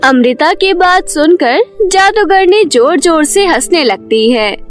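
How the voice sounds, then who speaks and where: A woman narrates a scripted fairy tale for children. Her voice is high-pitched and very animated, with music playing behind it.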